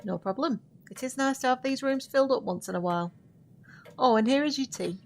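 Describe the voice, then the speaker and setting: An actress playing a centuries-old witch in an audio drama, gracious and quietly sorrowful, with formal, measured diction. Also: high voice, background noise. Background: music.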